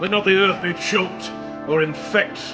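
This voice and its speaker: An actor playing a stern official passing a death sentence, commanding and declamatory, in a radio tragedy. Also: male voice, high voice, fairly even intonation, measured pace. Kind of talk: Elizabethan tragedy radio acting. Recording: loud music and outdoor crowd behind.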